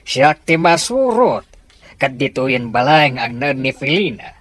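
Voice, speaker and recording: A woman plays an old grandfather in an Ilocano drama, coaxing and quietly in charge. The voice sits low and husky, moving in lively rises and drops. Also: unhurried, exaggerated voice. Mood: indulgent, firm. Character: indulgent old grandfather, gently authoritative. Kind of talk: Ilocano audio drama acting.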